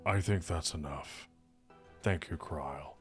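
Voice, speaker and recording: A man voicing a big, gentle older bear doctor in a visual novel, speaking in a measured, reassuring, fatherly way. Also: very deep voice, pitched below the performer's own voice. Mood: solemn. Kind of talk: visual novel character read-aloud. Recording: music behind the voice.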